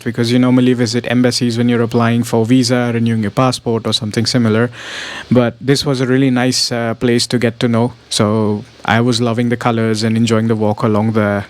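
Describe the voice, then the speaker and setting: A young man narrating his travel vlog as a casual voiceover, relaxed and rambling with fillers. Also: medium pitch, fairly quick. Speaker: travel vlogger.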